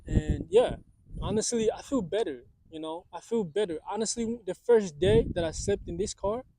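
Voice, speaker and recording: A young man in his twenties talks into his phone from a parked car, rambling loosely and circling back on himself. His voice sits a little on the high side for a man, and the sound is close and dry with almost no room around it. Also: mellow, no echo, poor audio. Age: 20s. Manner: rambling, filler-heavy, restarts often. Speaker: vlogger. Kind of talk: casual vlog monologue. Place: parked car in a parking lot.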